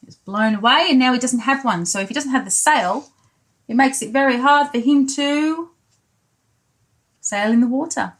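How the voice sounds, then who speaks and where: A woman's voice: high-pitched, moderate pace. A woman pre-school storyteller reading a picture book aloud, adding simple explanatory asides for young children.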